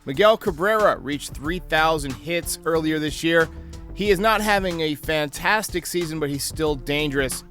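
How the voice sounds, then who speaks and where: A man's voice: fairly high pitch, moderate pace, fairly bright tone, soft background music. A man hosting a baseball fan show, delivering sports commentary and previews. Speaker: host of a baseball fan channel.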